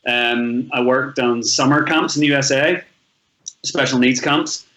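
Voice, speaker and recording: A man's voice: medium pitch, fairly even intonation, a little slow, bright, forceful tone, low-quality recording. A man in his thirties with a Northern Irish accent, telling his life story as a podcast guest in a chatty, rambling way with frequent restarts. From Northern Ireland. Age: 30s. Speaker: podcast guest, travel blogger. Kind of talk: casual storytelling interview.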